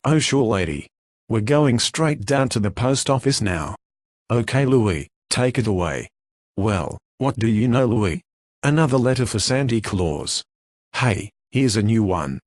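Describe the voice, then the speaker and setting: An actor playing an eager, folksy working-class mail carrier with slangy talk in a radio play. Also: male voice, fairly low pitch, big pitch swings, measured pace, no room sound. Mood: breezy.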